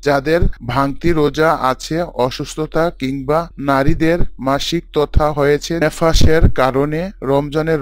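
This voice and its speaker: A man's voice: a little high, dry recording, natural voice. A man playing a devout imam who gives formal, instructive sermons in a cartoon story. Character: devout male imam teaching his congregation.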